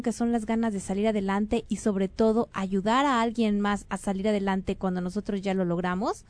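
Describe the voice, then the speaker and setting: A woman's voice: a little high, darkish tone, dry recording. A Mexican woman hosting a show, talking earnestly and rambling with fillers and self-corrections. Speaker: radio or online show host. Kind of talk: casual spoken show announcements and guest introduction.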